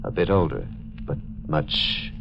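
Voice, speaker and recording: An actor playing an older, long-lost lover in 1940s radio drama, measured and quietly insistent, with a gravelly voice. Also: male voice, a bass voice, lively intonation, music in the background, full character voice. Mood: gentle, insistent. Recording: noisy, muffled vintage radio recording.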